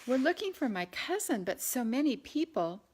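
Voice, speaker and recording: Woman as anxious, high-voiced young heroine. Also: sing-song, normal speed, darkish tone, slight background noise. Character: anxious twenty-year-old American woman searching a crowd.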